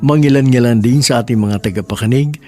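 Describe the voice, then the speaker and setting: A male radio drama host who reads and narrates a listener's letter, animated and emphatic. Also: mid-range voice, fairly quick, soft background music.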